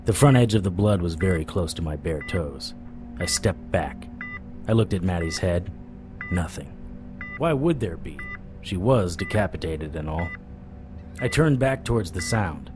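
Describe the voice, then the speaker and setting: A supernatural private investigator telling his own story in a low voice. He is wry, deadpan and world-weary, in the noir manner of audio drama. Music plays clearly behind him. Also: a little slow. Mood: puzzled, wry. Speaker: narrator and lead actor. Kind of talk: noir first-person audio drama narration.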